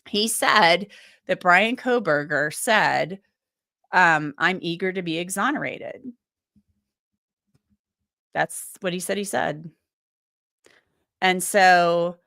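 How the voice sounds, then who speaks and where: A woman with a fairly low voice, a little strained from a cold, talks through viewer comments in a loose, chatty way, often restarting her sentences. The sound is close and dry. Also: sharp tone, no room sound. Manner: chatty, loose and thinking aloud, with many restarts and asides. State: recovering from a cold, voice a bit strained. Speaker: legal commentator and livestream host. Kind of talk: casual livestream chat reading viewer comments.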